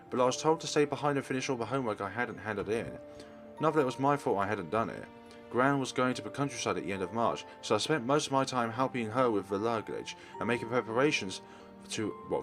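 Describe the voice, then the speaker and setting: A man reading story narration aloud from a visual novel as a let's play host. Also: lowish voice.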